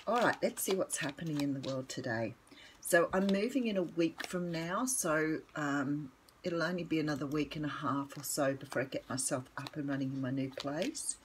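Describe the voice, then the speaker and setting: Croaky low-voiced Australian woman. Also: moderate pace, slight background noise, low-quality recording. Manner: chatty, rambling, frequent ums. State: croaky morning voice. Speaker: tarot reader. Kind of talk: casual solo tarot reading.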